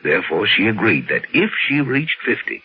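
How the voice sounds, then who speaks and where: Deep-voiced, dignified doctor, old radio. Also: male voice, sing-song. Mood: earnest. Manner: measured, formal, earnest. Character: earnest, dignified doctor running a clinic, mature man. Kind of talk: 1950s radio drama acting. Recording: muffled old radio recording, background noise.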